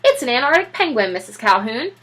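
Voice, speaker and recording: Woman doing an eager, earnest, high-voiced man. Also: sing-song, quick pace, a bit bright, a little hiss or hum. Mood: insistent. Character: earnest, proud middle-class man with pet penguin.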